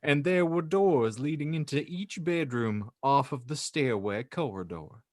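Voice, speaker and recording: A man's voice: fairly high pitch, expressive, measured pace, no room sound, studio quality. A man hosting a comedy podcast, reading fan fiction in a mock-solemn storybook voice and chatting wryly. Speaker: podcast host and narrator.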